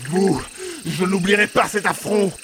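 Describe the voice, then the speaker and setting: A man's voice: high-pitched, measured pace, noisy background. A man playing a vengeful, hot-tempered villain in an amateur audio drama, with grandiose speech. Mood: menacing, vengeful. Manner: menacing, grandiose declarations. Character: vengeful, hot-tempered fire-throwing villain, servant of a master. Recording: music behind the voice.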